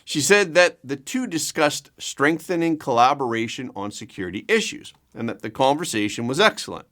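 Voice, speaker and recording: A man reading scripted news as an anchor, with a measured, emphatic delivery. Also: medium pitch, expressive, pushed and bright.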